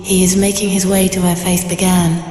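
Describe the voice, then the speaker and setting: A woman's voice: on the low side, little pitch movement, moderate pace, warm tone, lightly acted. A woman playing a solemn captive holy lady who speaks as a vision in a video game. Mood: solemn, urgent. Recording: reverberant, echoing, with faint music.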